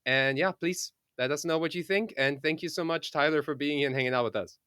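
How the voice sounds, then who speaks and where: A man's voice: fairly high pitch, fairly quick, sharp tone, clean tone, dry recording, studio quality. German-accented male podcast host. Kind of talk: podcast hosting and outro.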